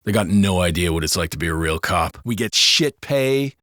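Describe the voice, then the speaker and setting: A man's voice: low-pitched, expressive, normal speed, bright, forceful tone, no echo, studio quality. A man voicing a gossiping, foul-mouthed American cop in a video game, talking in a colloquial, sardonic way. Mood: bitter. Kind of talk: casual scripted banter for a video game.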